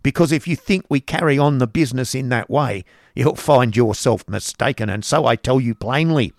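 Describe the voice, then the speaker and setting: A man playing a sharp, scolding schoolmaster's wife. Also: medium pitch, moderate pace, gravelly, no room sound, studio quality, pitched above the performer's own voice, lightly acted. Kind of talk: audiobook character voice.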